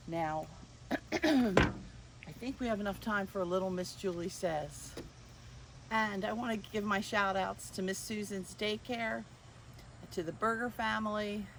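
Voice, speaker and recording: An older woman hosting storytime for young children, playful and expressive as she addresses them directly. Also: medium pitch, a little hiss or hum, low-quality recording.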